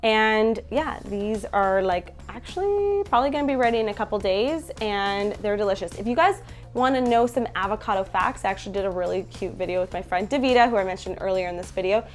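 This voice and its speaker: A woman's voice: medium pitch, lively intonation, fairly quick, faint music behind. A young Canadian woman with a stuffy, nasal voice from a head cold, chatting casually in her bedroom about groceries. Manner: chatty, upbeat, many fillers. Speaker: food vlogger. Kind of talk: casual haul video chat. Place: bedroom at home.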